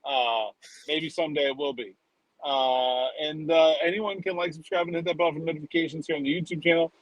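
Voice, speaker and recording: Male sports podcaster with a higher-pitched voice. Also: steady tone, fairly quick, no room sound, dull audio. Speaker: sports reporter and podcast co-host. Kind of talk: casual sports podcast chat.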